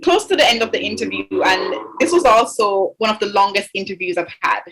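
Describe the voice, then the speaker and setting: A woman's voice: medium pitch, big pitch swings, fairly quick, noisy background. Young woman from Jamaica, interview guest, earnest and thoughtful, lots of "you know" fillers. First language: English. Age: 20s. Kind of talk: interview conversation.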